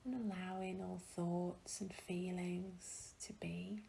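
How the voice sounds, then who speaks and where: A woman guiding a meditation in a gentle, soothing, hushed and breathy voice, leaving long pauses between phrases. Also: lowish voice, level tone, a little hiss or hum. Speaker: meditation guide. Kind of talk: guided meditation, soothing and slow.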